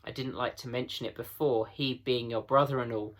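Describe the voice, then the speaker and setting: A man's voice: medium pitch, fairly even intonation, brisk, a bit bright. A man voicing a blunt, grave male beaver in a storybook reading, with weighty, urgent delivery. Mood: grave, knowing.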